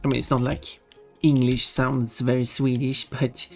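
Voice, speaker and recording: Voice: mid-range voice, flat delivery, unhurried, vocal fry. Rambling casual streamer over background music. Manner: rambling, self-correcting, giggly asides. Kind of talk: casual livestream chat. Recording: music behind the voice.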